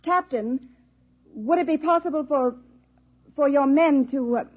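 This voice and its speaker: High-pitched woman space commander, vintage radio. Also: unhurried, no room sound. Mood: commanding, urgent. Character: authoritative female space expedition commander, decisive but privately anxious. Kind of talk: 1950s radio drama acting. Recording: muffled vintage 1950s radio recording.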